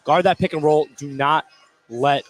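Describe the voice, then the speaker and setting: A man with a somewhat high voice works as color commentator on a live basketball broadcast. His delivery is casual and informal, made of interjected reactions and bits of analysis. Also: moderate pace. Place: indoor basketball game, live.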